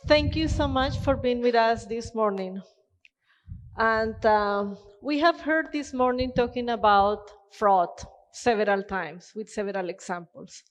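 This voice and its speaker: Colombian-accented woman giving a passionate conference talk, kinda high voice, big echoey hall. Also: a little slow. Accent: Colombian-Canadian Spanish-tinged English. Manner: earnest, passionate, with frequent fillers. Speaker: conference presenter. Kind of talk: keynote presentation. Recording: long hall reverb.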